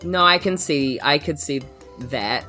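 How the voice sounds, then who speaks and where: A woman's voice: deep voice, expressive, a little slow. A woman with a New Zealand accent chatting casually as she improvises her part as a player in a tabletop game. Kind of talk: casual improvised role-play chat. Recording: music playing behind. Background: background music.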